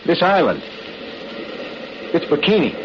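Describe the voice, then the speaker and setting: An actor playing a steady, determined castaway who takes charge in an old-time radio drama, speaking deliberately. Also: male voice, a little high. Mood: grave, revelatory. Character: steady, determined man stranded on a tropical island. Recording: noisy, muffled vintage radio recording.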